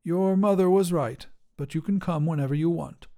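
Man voicing a gentle old village woman, lightly raised pitch. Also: moderate pace, close to an everyday voice. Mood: gentle, weary.

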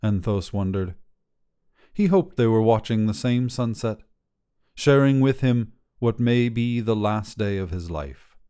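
A man reading a short story as its narrator, with a measured, literary storytelling delivery. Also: lowish voice, dark tone, smooth. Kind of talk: scripted fiction narration, storytelling.

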